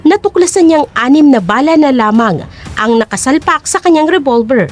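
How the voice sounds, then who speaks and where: Female storyteller, high voice with lively swoops, dramatic scripted narration. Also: moderate pace.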